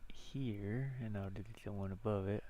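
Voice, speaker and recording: A man with a creaky, gravelly voice giving casual, rambling commentary as a game developer showing his work, often muttering to himself and repeating words. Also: fairly low pitch, normal speed, warm tone, a little hiss or hum.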